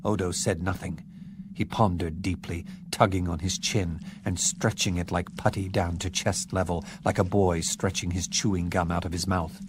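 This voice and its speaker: A man narrating an audiobook in a measured, even storytelling manner. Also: deep voice, background noise. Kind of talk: scripted narration. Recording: narration with background music.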